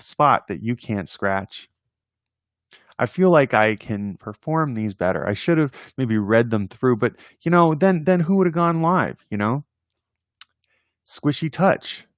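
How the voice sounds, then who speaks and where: A man hosting a casual read-aloud of children's poems, playful and expressive in verse, with informal chatty asides. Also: mid-range voice, no echo, clean studio sound. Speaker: host reading poems aloud on a livestream.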